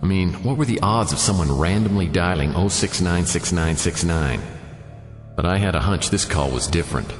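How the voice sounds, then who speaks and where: A man's voice: low voice, moderate pace, reverberant, in a large hall, soft background music, close to an everyday voice. An actor playing a wry, hard-boiled private detective, narrating his case dryly in a noir radio drama. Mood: wry, brooding. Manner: dry, wisecracking.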